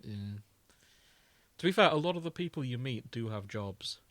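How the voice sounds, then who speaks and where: A man with an average-pitched voice co-hosts a casual fan podcast. He speaks in a loose, conversational, jokey way. The sound is dry, with hardly any room on it. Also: animated, moderate pace, a bit bright, no echo. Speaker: podcast co-host. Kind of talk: casual banter about fandom.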